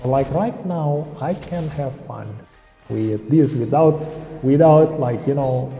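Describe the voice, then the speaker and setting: Dark-voiced man rambling on stream. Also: medium pitch, takes it slowly, creaky. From Indonesia. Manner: rambling, often loses the thread. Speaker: game streamer. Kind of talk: casual live-stream game commentary. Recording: roomy echo with long reverb, faint music.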